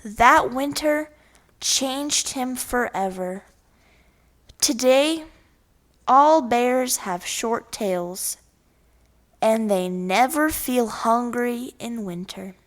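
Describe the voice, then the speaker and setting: A woman reading a children's picture book aloud in short, deliberate phrases. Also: on the high side, expressive. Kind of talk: scripted read-aloud narration for children.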